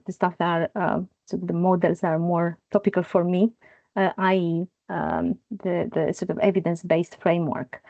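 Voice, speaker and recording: A middle-aged woman with a Romanian accent presents informally in a halting, meandering manner. Her voice sits at an average pitch. The sound is close and dry, with a slightly dull, lo-fi finish. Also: a little mellow, no room sound. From Bucharest, Romania. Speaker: guest speaker, coach and facilitator.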